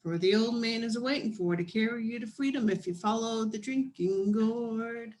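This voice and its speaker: A woman reading a children's picture book aloud as scripted narration, in deliberate, measured phrases with dramatic pauses. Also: fairly high pitch, a little mellow. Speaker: storybook reader.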